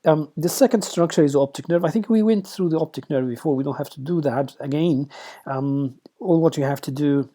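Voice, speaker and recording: A man's voice: a little high, fairly quick, dark tone. A middle-aged man whose first language is Arabic, giving an informal teaching lecture with many fillers and restarts. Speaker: radiation oncology lecturer.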